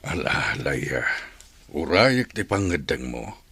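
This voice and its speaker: Devoted suitor, deep voice that swings a lot, dry studio sound. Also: male voice, big pitch swings, slow pace, a bit bright, breathy edge, no room sound, heavily acted. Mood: earnest. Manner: earnest, pleading. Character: devoted young man courting a woman. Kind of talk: radio drama acting.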